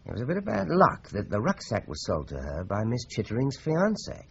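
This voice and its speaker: An actor playing a methodical British police inspector in a radio drama, explaining things in a deliberate way. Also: male voice, mid-range voice, huge pitch swings, vocal fry, husky, dull audio, heavily acted. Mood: explaining, relishing. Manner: deliberate, expository. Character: measured, methodical male police inspector explaining a murder case.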